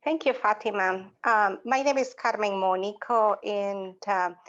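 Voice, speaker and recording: Halting Salvadoran-accented woman, dull lo-fi audio. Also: mid-range voice, fairly even intonation, a bit bright. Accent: Salvadoran Spanish. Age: middle-aged. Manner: halting, deliberate phrasing. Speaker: panelist, associate professor. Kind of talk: semi-formal panel self-introduction.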